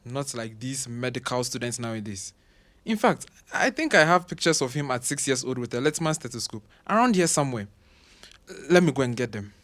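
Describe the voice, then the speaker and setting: Man playing a pompous older professor father, animated. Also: medium pitch, lightly acted. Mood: proud. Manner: pompous, halting stammer. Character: proud, dismissive professor father, doting on favourite son. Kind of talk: audio drama acting.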